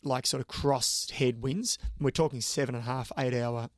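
An enthusiastic man with an Australian accent talks cycling in a relaxed co-host chat, restarting phrases as he gathers his thoughts. His voice sits in a middle pitch. It is recorded close and dry, with no room sound. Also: moderate pace, no room sound. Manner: enthusiastic, upbeat, repeats himself while thinking. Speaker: cycling commentator and former racer. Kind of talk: casual chat.

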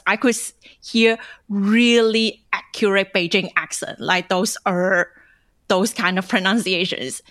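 A woman hosting a casual chat, quick and curious, asking her guests questions. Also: mid-range voice, fairly bright tone, no room sound.

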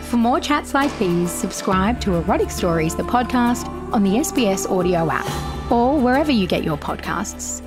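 A woman hosting a podcast interview, asking quick, playful questions in a conversational way. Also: mid-range voice, expressive, over music. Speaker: podcast host.

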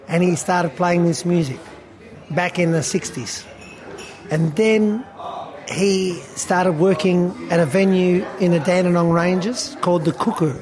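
A man's voice: high-pitched, a little mellow. A man in his fifties, a band musician, chatting casually and rambling with lots of fillers and laughs. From Australia. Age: 50s. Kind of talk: casual interview chat. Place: busy beer hall restaurant.

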